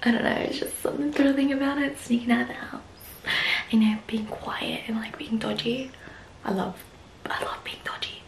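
Young woman vlogger, phone selfie mic, gravelly voice with whispers, roomy echo, soft music behind. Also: a little high, steady tone, moderate pace. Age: 20s. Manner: chatty, giggly, often whispering. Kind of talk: casual vlog chat. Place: small bedroom indoors, then outdoors on walks. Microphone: phone held at arm's length.

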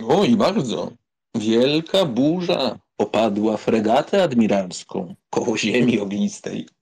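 A man playing a nonchalant, cocksure young aristocratic womanizer in a dramatized audiobook, careless and teasing, with a husky voice. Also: lowish voice, animated, takes it slowly, a little mellow, heavily acted. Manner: careless, teasing, self-assured.

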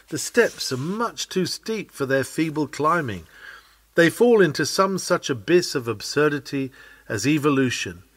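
A man reading an old-fashioned sermon aloud from a script in a measured, formal preaching manner. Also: medium pitch, no echo. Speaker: sermon reader.

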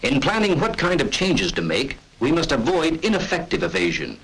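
A man giving scripted, measured and authoritative narration for a 1940s military training film. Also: a little high, wildly swooping pitch, vocal fry, fairly bright tone, breathy edge, poor audio.

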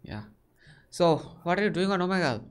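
A man's voice: sits high, very animated, a little fast, clear, resonant tone. Young Indian man, casual webcam chatter who keeps asking questions. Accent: Indian English. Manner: inquisitive, asks many short questions. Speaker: video chat host. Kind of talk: casual chat with strangers. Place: small bedroom.

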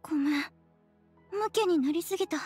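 Frail, wistful young girl for a game drama, high-pitched, earnest and hesitant. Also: normal speed, darkish tone, soft background music. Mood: downcast. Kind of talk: anime-style video game acting.

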